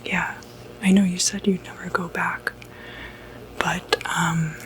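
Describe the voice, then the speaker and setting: A woman playing a gentle, reassuring doctor who treats demonic possession, in a hushed, soothing ASMR medical roleplay. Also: lowish voice, little pitch movement, a little hiss or hum, rough recording. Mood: calm, reassuring. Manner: hushed, unhurried, hesitant, soothing.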